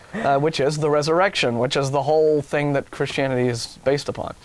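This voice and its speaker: A man's voice: a little high, dry recording. An American man co-hosting a talk show, reading out news items with casual, wry and mocking asides. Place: TV studio.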